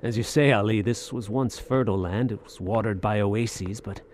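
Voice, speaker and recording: A man's voice: on the low side, darkish tone, slight background noise, close to an everyday voice. Man playing a bold, theatrical adventurer. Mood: awed. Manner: theatrical, awestruck, halting phrasing. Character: bold, greedy Western soldier of fortune exploring ruins. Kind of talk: audio drama acting.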